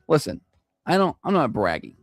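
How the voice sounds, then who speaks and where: A man with a high-pitched Southern accent, hosting a morning radio show in casual, self-deprecating chat. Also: sing-song, normal speed, gravelly, dry recording, studio quality. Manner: chatty, self-deprecating, laughs easily. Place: radio studio.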